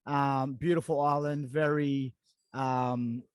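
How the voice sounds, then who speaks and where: A man hosting a casual podcast interview, relaxed and rambling with lots of fillers. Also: medium pitch, slow, smooth, no room sound. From Australia. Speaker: podcast host. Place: living in Dubai.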